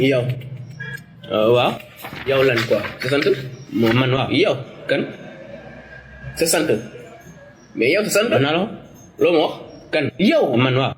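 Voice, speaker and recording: Man playing an excitable bickerer with swooping pitch. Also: natural voice. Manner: excitable, repetitive, argumentative. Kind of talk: animated comic dialogue in Wolof and French.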